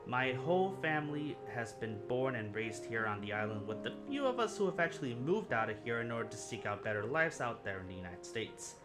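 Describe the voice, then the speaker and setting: A man's voice: medium pitch, animated, brisk, few pauses. A young man from Puerto Rico hosting a film review channel, telling a personal story in an earnest, rambling way that often corrects itself. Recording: music playing underneath.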